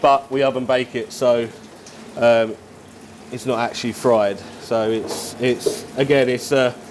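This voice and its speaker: Bloke, chef explaining stuff casually to camera, medium pitched voice, noisy room behind him. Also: male voice, fairly even intonation, a little slow. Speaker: head chef at a football club. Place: indoors, club kitchen and dressing room.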